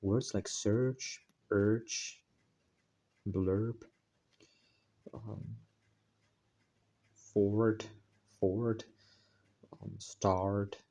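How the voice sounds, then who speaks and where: Male channel host, deep dark tone, hesitant delivery with many restarts, working at a put-on American accent. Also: little pitch movement. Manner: hesitant, full of fillers and self-corrections; deliberately putting on an American accent. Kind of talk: casual spoken introduction to a text study.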